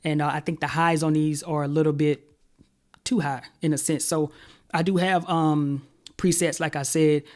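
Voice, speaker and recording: A man in his thirties with a somewhat high voice talks through a product review in a flat, even tone. His delivery is loose and conversational, full of "uh" and "you know", recorded in a small home studio on a close mic. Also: moderate pace. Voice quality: self-described high-pitched voice. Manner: relaxed, conversational, many "uh" and "you know" fillers. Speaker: tech reviewer. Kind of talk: casual product review to camera. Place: home studio room with shelves and purple lights. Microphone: desk broadcast mic, later clip-on wireless mic.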